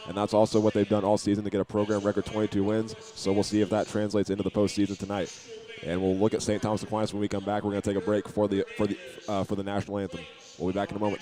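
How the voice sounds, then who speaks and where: An American man giving pregame commentary as a play-by-play broadcaster, in an earnest announcer delivery. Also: lowish voice.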